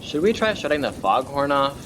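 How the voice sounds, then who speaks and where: A man's voice: mid-range voice, big pitch swings, a little fast, lightly acted. A man playing an uneasy lighthouse companion in an amateur radio play, with a plain, questioning delivery. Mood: curious. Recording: noisy rain and storm ambience.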